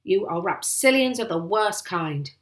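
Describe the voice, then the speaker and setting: A woman's voice: lowish voice, lively intonation, moderate pace. A woman voicing a furious, haughty male landowner in a storybook, blustering, bullying and demanding. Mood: indignant.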